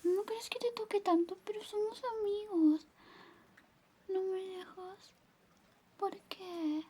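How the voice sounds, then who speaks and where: Woman acting out high, whispery, flirty best friend. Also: fairly even intonation, takes it slowly. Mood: coaxing, teasing. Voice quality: soft, breathy whispering mixed with soft voice. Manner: coaxing, intimate, lingering. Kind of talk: Spanish ASMR roleplay, whispered personal attention.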